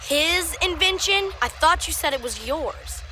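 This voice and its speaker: A woman's voice: very high-pitched, lively intonation, a little slow, bright, forceful tone, faint music behind, exaggerated voice, rough recording. A woman voicing a sarcastic, impulsive, skeptical kid in a children's puppet show, slangy in delivery.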